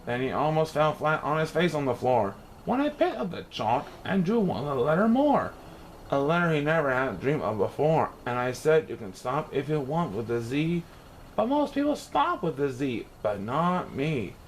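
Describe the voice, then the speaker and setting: A man's voice: fairly high pitch, big pitch swings, moderate pace, a little hiss or hum, low-quality recording. A man reading a children's picture book aloud in rhyme, with theatrical, playful emphasis. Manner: theatrical, playful rhyming delivery with exaggerated emphasis. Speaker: storybook reader.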